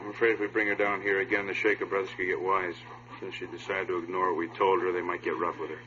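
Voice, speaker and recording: An actor playing a cautious, businesslike police captain with an air of authority in a 1950s radio drama. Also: male voice, low-pitched, little pitch movement, quick pace, sharp tone, slight background noise. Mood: firm, cautious. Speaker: supporting actor. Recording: muffled, humming vintage radio recording.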